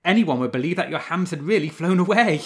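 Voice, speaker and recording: A man voicing a sly, flattering male fox who fawns and schemes. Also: mid-range voice, normal speed, lightly acted. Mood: mocking. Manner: fawning, bowing and scraping.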